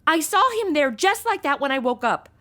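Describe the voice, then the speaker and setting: High, bright, indignant party-girl temp played by a woman. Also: expressive, a little fast. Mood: flustered. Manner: exasperated, breezy modern slang. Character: flighty young female temp secretary, indignant party girl. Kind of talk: comedic audio drama acting.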